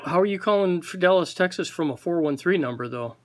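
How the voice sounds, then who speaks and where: A man's voice: sits high, lively intonation, fast, a little hiss or hum. A man having a casual phone conversation, giving brief, matter-of-fact replies to a caller, recorded on a handheld phone. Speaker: call recipient playing along with a scam caller. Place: office, beside a desk phone. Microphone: handheld phone camera.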